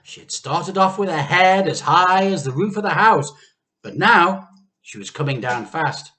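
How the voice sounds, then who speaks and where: A man reading a children's story aloud with expressive, theatrical narration and dramatic pauses. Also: high voice.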